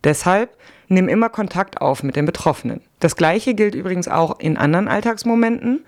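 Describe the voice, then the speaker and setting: A woman hosting a podcast, reading her scripted narration in a calm, explanatory way. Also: lowish voice. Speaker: podcast host.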